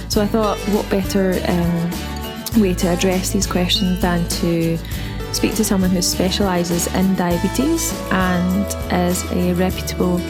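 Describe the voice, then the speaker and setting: A woman from Glasgow, speaking as a podcast host in introductions and casual interview chat. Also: lowish voice. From Glasgow, Scotland.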